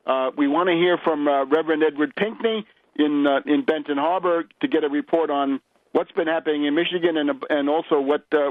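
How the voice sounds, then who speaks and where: Male talk radio host, political interviews, somewhat high voice, hesitant phrasing, muffled lo-fi broadcast. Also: no echo. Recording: lo-fi, band-limited radio audio.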